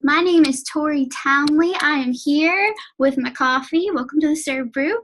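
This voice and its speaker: A woman hosts a casual interview with a bright, high voice. She sounds upbeat and gushing. She draws out her words in a friendly way. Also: normal speed, background noise. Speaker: host. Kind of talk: interview host chat.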